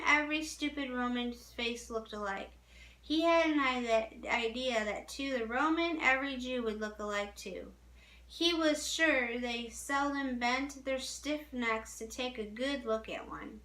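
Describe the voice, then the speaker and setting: A woman reading a story aloud as narration, deliberately, with small stumbles over the text, in a roomy, echoing recording. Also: fairly high pitch, sharp tone.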